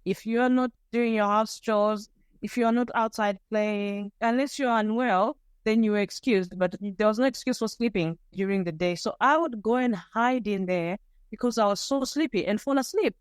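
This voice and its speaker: A woman from Zimbabwe, a podcast guest, telling her own story candidly, with pauses and restarts. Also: mid-range voice, smooth, dry recording. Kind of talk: personal storytelling interview.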